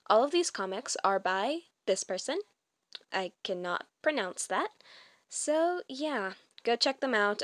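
A woman's voice: mid-range voice, no echo, clean studio sound. A woman hosting a fan comic dub channel, chatting casually in a self-conscious, awkward and informal way. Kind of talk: casual, chatty video intro and outro.

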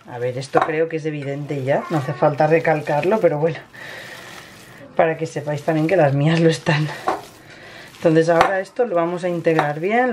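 A woman with an accent from Spain gives a casual, chatty cooking tutorial, explaining each step informally as host. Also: low-pitched. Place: home kitchen, indoors. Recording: kitchen noise, frying and stirring sounds.

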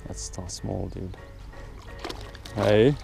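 A man's voice: lowish voice, drawn-out words, gravelly, dark tone, soft background music. A man chatting casually and slangily while fishing outdoors on a boat. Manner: slangy, reactive. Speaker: angler. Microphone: action camera on the boat.

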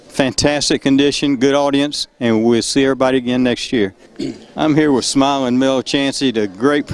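Older male stage interviewer, folksy and upbeat, handheld mic, large hall. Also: mid-range voice. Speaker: bodybuilding show interviewer. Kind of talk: on-stage post-contest interviews. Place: large indoor stage at a contest.

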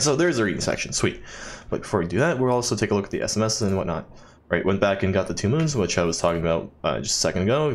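A young man giving casual video game commentary as a let's play host, rambling with wry asides. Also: on the low side.

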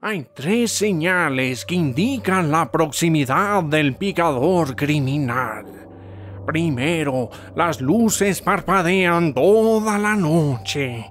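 Sardonic male cartoon squid, higher-pitched, ominous and very drawn-out, faint noise behind. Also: animated, fairly bright tone. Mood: spooky, teasing. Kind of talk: cartoon dub.

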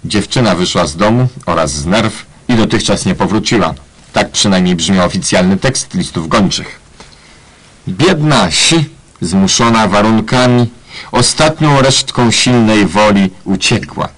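Husky mock-solemn male narrator. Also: on the low side, animated, unhurried, fairly bright tone, poor audio. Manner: mock-solemn, tongue-in-cheek. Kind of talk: scripted ironic radio play narration.

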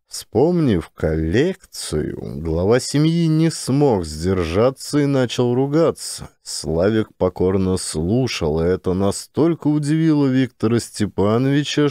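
A man's voice: fairly low pitch, dark tone, dry recording, clean studio sound. A man narrating a satirical story as a scripted audiobook.

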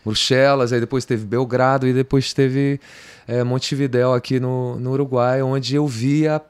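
A man's voice: mid-range voice, flat delivery, normal speed, darkish tone, smooth. Rambling middle-aged Brazilian man reminiscing. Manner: rambling, restarts, slangy "cara", "entendeu?". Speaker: podcast guest, guitarist. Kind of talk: casual interview talk. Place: home music studio, indoors. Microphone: studio mic on boom arm.

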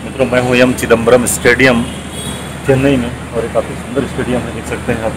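A man's voice: mid-range voice, level tone, quick pace. A middle-aged man hosting a travel vlog, touring a stadium with enthusiasm and repeating his praise often. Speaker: travel vlogger. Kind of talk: casual guided tour vlog. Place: outdoor cricket stadium at night. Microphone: phone camera at arm's length. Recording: outdoor noise on phone.

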